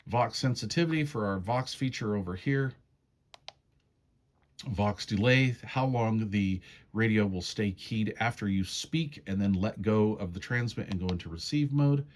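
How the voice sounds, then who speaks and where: A man's voice: lowish voice, unhurried, slight background noise. A man giving a casual, hands-on product walkthrough in a folksy, step-by-step, conversational way. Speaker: radio reviewer demonstrating menu settings.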